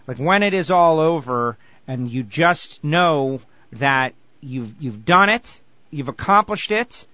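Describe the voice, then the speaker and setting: A man hosting a sports radio show, eager and animated as he interviews a guest. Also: fairly high pitch, no echo, muffled recording. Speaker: radio host.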